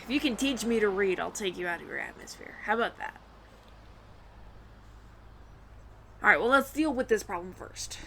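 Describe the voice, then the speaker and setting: A woman's voice: medium pitch, lively intonation, vocal fry, a bit bright, a little hiss or hum. A woman playing a flustered, smitten alien roommate who stammers nervously and earnestly in an intimate audio roleplay. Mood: sheepish, pleading. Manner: nervous stammering, halting, repeats words.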